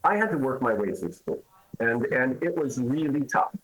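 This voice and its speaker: A man's voice: mid-range voice, lively intonation, moderate pace, fairly bright tone, low-quality recording. A man from Canada, an immigration lawyer, answering interview questions in an emphatic, arguing way with rhetorical questions.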